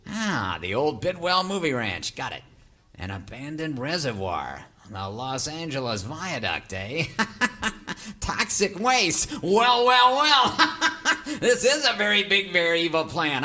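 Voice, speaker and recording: A sleazy, animated Hollywood schemer. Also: male voice, on the high side, full character voice. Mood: evil glee. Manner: slick, blustering, chuckling often. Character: scheming gleeful sleazy Hollywood movie producer, cackling villain. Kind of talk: parody of old-time radio melodrama acting.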